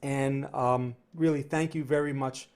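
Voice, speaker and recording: A man's voice: medium pitch, steady tone, a little slow, a little mellow. A man with a New Jersey accent hosting a live trading stream, answering viewer questions in a rambling way, often repeating words and tagging sentences with "right?". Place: home studio near New York City.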